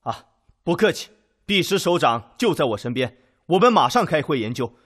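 Steady military commander, radio drama, husky, animated intonation, deliberate authority. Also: male voice, fairly high pitch. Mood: calm, reassuring. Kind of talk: Chinese radio drama acting.

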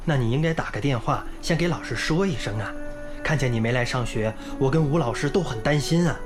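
A woman playing a patient, gentle and encouraging village schoolteacher in a radio drama. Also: very deep voice, fairly quick. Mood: concerned. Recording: music clearly behind the voice.

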